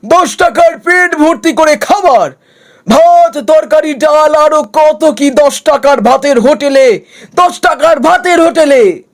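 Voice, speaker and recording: A man doing a shrill shouting hawker. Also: slow, heavily acted. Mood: hawking loudly. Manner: shouting sales cries, sing-song. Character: loud male food-stall hawker calling customers.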